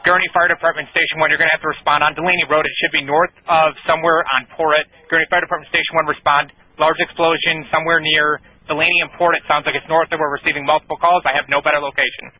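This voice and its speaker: A man's voice: fairly high pitch, little pitch movement, fast talker, hardly any pauses, pushed and bright, muffled recording. A man working as an emergency dispatcher, giving urgent dispatches over a two-way radio. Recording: emergency scanner radio audio.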